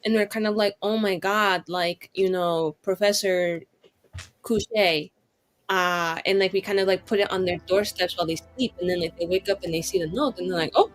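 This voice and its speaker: A woman's voice: medium pitch, normal speed, soft background music. A woman playing a tabletop roleplaying game, casually thinking aloud in a chatty way full of "like" and acted-out reactions. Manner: chatty, peppers talk with "like" and quoted reactions.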